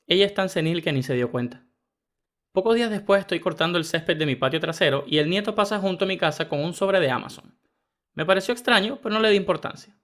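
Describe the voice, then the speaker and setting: A man narrating first-person internet stories in a brisk, even reading style. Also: high voice. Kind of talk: scripted story narration.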